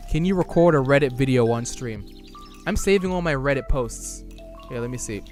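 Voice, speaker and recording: A man's voice: mid-range voice, expressive, normal speed, a little mellow, faint music behind. A young man live-streaming, reading viewer chat aloud and answering it casually, his voice wearing out from a long stream. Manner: chatty, reads chat aloud and answers it, loose restarts. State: losing his voice.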